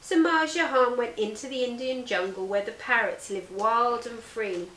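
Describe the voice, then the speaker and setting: Female storyteller, thirties, high voice, teacherly and expressive read-aloud for children, echoey living room. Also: normal speed, far from the mic, slight background noise. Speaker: teacher reading a picture book aloud. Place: living room sofa, indoors. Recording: roomy indoor echo.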